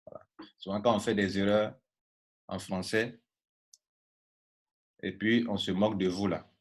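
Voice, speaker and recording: A man's voice: fairly low pitch, fairly even intonation, a little slow. A man from Ivory Coast with an Ivorian accent and Baoulé as his first language, giving an informal online training talk in an emphatic, repetitive way full of rhetorical questions. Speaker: network marketing coach.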